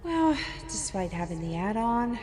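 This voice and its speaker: A woman acting a scheming infiltrator in an audio drama, speaking in a measured, deliberate way. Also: a little high, big pitch swings, warm tone, long reverb tail, soft background music. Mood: watchful.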